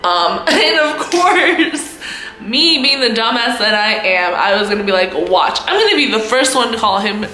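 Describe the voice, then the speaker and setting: A woman in her twenties telling a casual story to camera as a vlogger, with a loud voice and a giggly, self-mocking manner. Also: fairly high pitch, expressive, moderate pace, reverberant. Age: 20s. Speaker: vlogger, host of own channel.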